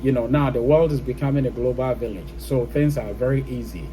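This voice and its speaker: A Ghanaian man shares his views casually, like a vlogger explaining things to friends. His voice is mid-pitched and mellow, with a relaxed tone. There is faint ambient noise behind him. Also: a little fast, poor audio. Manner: explanatory, often checks in with "okay?" and "you know". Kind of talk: casual opinion talk to camera.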